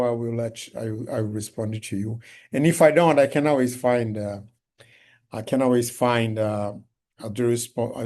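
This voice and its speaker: A man from Brazil with a Brazilian Portuguese accent, a native Portuguese speaker, giving an online talk over a video call in halting English with many restarts and fillers. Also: medium pitch, dark tone. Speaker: guest speaker.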